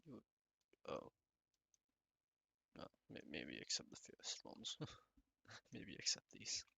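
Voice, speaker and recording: Bright, sharp-voiced man muttering over gameplay. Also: deep voice, big pitch swings, slow pace, vocal fry, breathy edge. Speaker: gaming streamer. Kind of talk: casual solo gameplay commentary.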